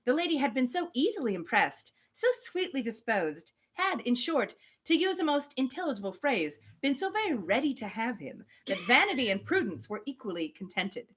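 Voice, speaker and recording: A woman's voice: fairly high pitch, very animated, normal speed, hardly any pauses, fairly bright tone, slightly rough. A woman hosting a live book reading, reading expressively and dropping in casual, amused asides. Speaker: host reading a novel on a livestream.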